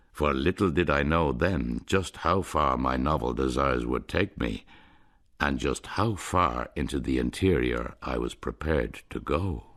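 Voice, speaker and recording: A man's voice: rumbling low, a bit bright. A middle-aged Irish man narrating his own story in a wry, rueful, lyrical way for a radio drama. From Ireland. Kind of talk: scripted first-person radio narration.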